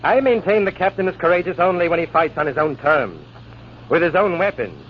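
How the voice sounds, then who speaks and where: An actor playing a smug, taunting lieutenant who goads others, in 1940s radio drama style. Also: male voice, a little high, expressive, a little fast, natural voice. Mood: smug, defiant. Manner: taunting, self-satisfied. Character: smug, goading lieutenant, coolly provoking a duel. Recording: muffled, noisy old radio broadcast.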